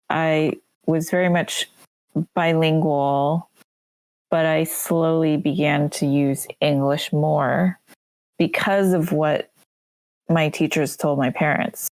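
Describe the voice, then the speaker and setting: A woman with a notably low voice talks slowly and reflectively on a podcast. Her first language is Korean. She pauses on soft hesitations, and the sound is close and dry. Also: no echo. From United States. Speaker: podcast guest. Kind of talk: casual podcast chat.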